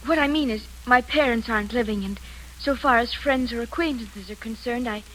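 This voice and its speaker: A woman's voice: on the high side, a little fast, a bit bright, close to an everyday voice, low-quality recording. An actress playing a hesitant, stammering young woman in her twenties applying as a secretary in a 1940s radio drama. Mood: nervous, hesitant. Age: 20s. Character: nervous young Irish secretary. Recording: old radio broadcast with faint hiss.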